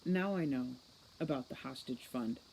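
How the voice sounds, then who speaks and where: A man's voice: medium pitch, normal speed, creaky, darkish tone, a little hiss or hum, natural voice, rough recording. A man voicing a smug, cold, precocious boy criminal mastermind in an audiobook, with formal, sardonic condescension. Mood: condescending calm. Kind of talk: audiobook character reading.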